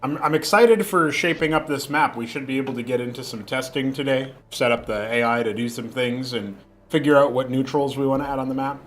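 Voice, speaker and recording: A man's voice: medium pitch, steady tone, fairly quick, fairly bright tone. A young man chatting casually on a livestream, rambling with fillers and restarts, with a lingering cough. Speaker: streamer.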